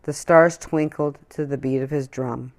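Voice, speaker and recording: A woman reading a children's picture book aloud in an expressive storytelling manner. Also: a bass voice, measured pace.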